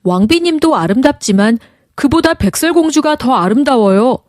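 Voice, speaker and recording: A woman's voice: sits high, lively intonation, moderate pace, a little mellow, dry recording, pitched above the performer's own voice. A truthful magic mirror from a fairy tale, as a woman storyteller puts it on. It answers politely and matter-of-factly, in a dry, close recording.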